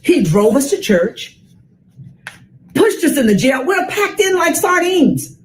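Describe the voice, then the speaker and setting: An American woman in her sixties reads a children's story aloud with dramatic, deliberate phrasing and emphatic repetition. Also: high-pitched, very animated, slight background noise. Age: 60s.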